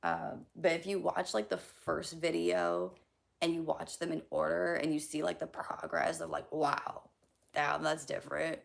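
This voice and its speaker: A young woman chatting casually on a livestream, answering chat questions bluntly with lots of fillers and swearing. Her throat is hoarse and scratchy from allergies, and she often drops into a whisper. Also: low-pitched, flat delivery, a little slow. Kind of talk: casual live-stream chat.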